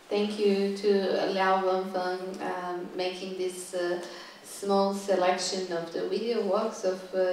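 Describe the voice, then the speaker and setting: A woman gives a university talk, halting and thoughtful, stopping often to find her next words. Her voice is fairly flat and sits at an ordinary pitch. It comes from well back in a very echoey lecture room with a faint hum underneath. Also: distant mic. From Serbia. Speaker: guest curator giving a talk. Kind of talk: lecture to a live audience. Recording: echoey room, voice picked up from afar.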